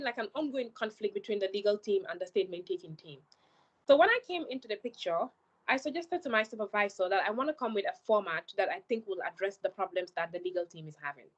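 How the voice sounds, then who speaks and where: A woman's voice: medium pitch, a bit bright, highs cut off. A young Gambian woman with a Gambian accent, a scholarship mentor giving earnest, direct advice to applicants and repeating words for emphasis.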